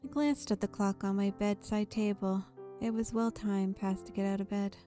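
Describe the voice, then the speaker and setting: A woman's voice: mid-range voice, level tone, normal speed. A woman reading visual novel text aloud in a storytelling manner as a gaming video host. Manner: narrative storytelling read-aloud. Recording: game music behind the voice. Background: soft game music.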